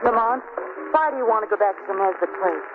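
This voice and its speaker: An actress playing a spirited, good-humored young woman companion in an old radio drama, brisk and curious. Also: a little high, animated, close to an everyday voice. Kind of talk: 1940s radio acting. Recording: tinny old radio broadcast with music.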